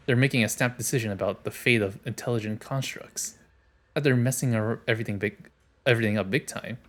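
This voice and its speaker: A man streaming a game, reading its dialogue aloud and adding casual commentary. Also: fairly low pitch.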